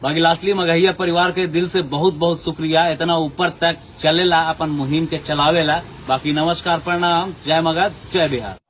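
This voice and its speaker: A man's voice: fairly high pitch, fairly even intonation, normal speed, hardly any pauses, fairly bright tone, a little hiss or hum. A man hosting a channel, talking earnestly and pleading with viewers. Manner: earnest, pleading, folded-hands appeal. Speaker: channel host. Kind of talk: casual commentary to viewers.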